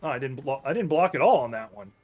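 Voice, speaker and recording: A man's voice: mid-range voice, very animated, fast, a little mellow, soft background music. A man with a mid-range voice talks through his gameplay in a loose, offhand way. He rambles and backtracks, fixing his words mid-thought as he plays. It sounds like an easygoing stream chat with his viewers. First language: English. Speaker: gaming streamer. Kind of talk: casual let's-play commentary while playing.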